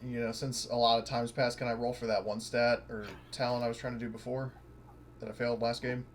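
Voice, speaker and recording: A man's voice: mid-range voice, level tone, a little fast. A man with a mid-range voice over noticeable background noise. He talks casually as a player in a tabletop roleplaying session. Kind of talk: casual game chat.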